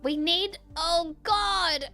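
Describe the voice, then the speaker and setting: A woman livestreaming a game, switching between reading the text aloud and reacting off the cuff in an animated way. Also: very high-pitched, extremely slow. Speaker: game streamer. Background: background music.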